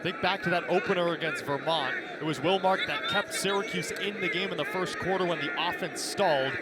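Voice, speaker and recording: American man, high voice, live lacrosse play-by-play, loud game ambience behind him. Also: brisk, very noisy. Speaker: play-by-play announcer. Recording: loud stadium ambience behind broadcast commentary.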